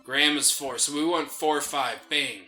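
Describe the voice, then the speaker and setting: A man's voice: fairly high pitch, steady tone, normal speed, pushed and bright, soft background music. A man co-hosting a gaming show with casual, playful and sarcastic banter about the game.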